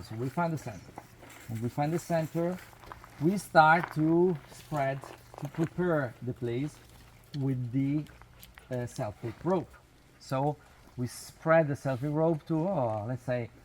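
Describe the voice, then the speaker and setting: A man who is not a native English speaker gives an informal explanatory talk to a group in a halting, emphatic way, with non-native phrasing. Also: mid-range voice, a little mellow. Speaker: spiritual workshop leader. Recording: noisy outdoor recording, natural ambience.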